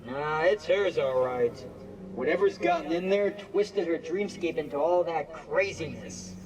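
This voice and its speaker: A man's voice: on the high side, a little slow, crowd noise, natural voice, low-quality recording. An actor playing an irritable, wisecracking male psychic who talks in old-time streetwise slang in an audio drama. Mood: resigned. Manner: slangy old-time wise guy phrasing.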